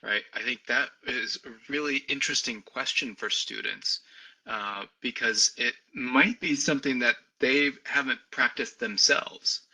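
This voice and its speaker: Bright-voiced hesitant professor, man. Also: medium pitch, a little slow, breathy edge, low-quality recording. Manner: hesitant, many stammered restarts. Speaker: history professor hosting the webinar. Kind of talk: academic moderation. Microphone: video call.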